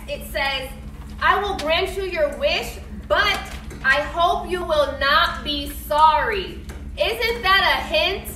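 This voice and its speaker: A woman's voice: high-pitched, pushed and bright. A woman teaching young pupils in a classroom, asking questions and explaining in an encouraging, didactic way. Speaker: elementary school teacher. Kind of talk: classroom read-aloud discussion, questioning pupils. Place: indoor classroom. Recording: echoey, noisy classroom from a distance.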